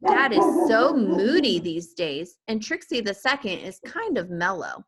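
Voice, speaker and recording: A woman's voice: medium pitch, big pitch swings, a little slow. A woman voicing a patient, innocent, matter-of-fact young boy in a children's storybook. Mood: innocent, casual.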